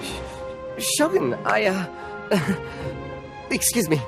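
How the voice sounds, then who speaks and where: A humble female stall keeper in a video game, with a mid-pitched voice that rises and falls a lot. She is nervous and deferential yet earnest and eager to please, over faint music. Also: big pitch swings, very slow, creaky, full character voice. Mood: nervous, flustered. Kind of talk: scripted video game dialogue.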